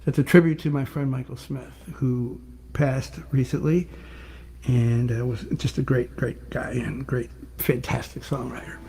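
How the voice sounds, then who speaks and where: An older male singer-songwriter with a rough voice, chatting casually and rambling a little between songs. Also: medium pitch, little pitch movement, unhurried, a little hiss or hum, low-quality recording. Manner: rambling, warm-hearted, restarts often. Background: guitar.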